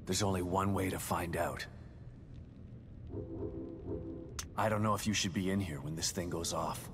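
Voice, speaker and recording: A man playing a gruff, weary, determined ex-detective in a survival horror game, speaking tersely and sardonically. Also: deep voice, fairly even intonation, a little fast, music in the background. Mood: grim.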